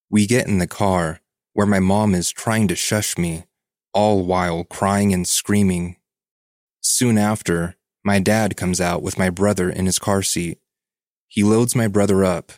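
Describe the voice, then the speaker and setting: A man's voice: low voice, a little mellow, no room sound, clean studio sound. A man reading a story aloud as a podcast host, in scripted narration.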